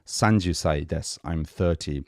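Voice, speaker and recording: A man's voice: rumbling low, measured pace, gravelly, a little mellow, no room sound, clean studio sound. A man hosting a language-learning podcast, chatting casually and enthusiastically while teaching phrases. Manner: chatty, enthusiastic, switches between English and Japanese phrases. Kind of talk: casual conversational lesson.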